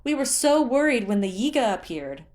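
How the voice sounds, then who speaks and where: A woman voicing a bubbly, excitable female fish-folk guard in a storybook reading, gushing and squealing cheerfully. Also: fairly high pitch, moderate pace, voice pushed up. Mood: excited.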